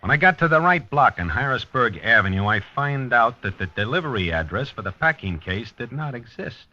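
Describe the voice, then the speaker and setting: Forceful, hard-boiled American detective. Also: male voice, mid-range voice, sing-song, brisk. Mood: grim, methodical. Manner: terse, wry, hard-boiled. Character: dogged, hard-boiled male police detective narrating his case. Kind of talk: 1950s radio drama acting, noir narration. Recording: dull vintage 1950s radio recording.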